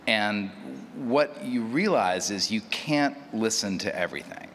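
A man with a very low voice talks thoughtfully as a producer on a panel. He feels his way through explanations, repeating small phrases. The hall rings with long reverb and there is clear background noise. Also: animated, unhurried, a bit bright. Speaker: audio drama producer on a panel.

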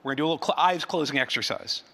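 A man's voice: fairly high pitch, expressive, rapid-fire, vocal fry, bright, forceful tone, husky. A man giving a conference presentation in a conversational way, often doubling back on his phrases. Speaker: conference speaker, advertising researcher. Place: large room, live event. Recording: reverberant hall sound.

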